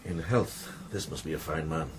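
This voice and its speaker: A man playing a calm, shrewd middle-aged monk healer in a period radio drama, speaking with measured, thoughtful authority. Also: rumbling low, slight background noise. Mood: grave, practical.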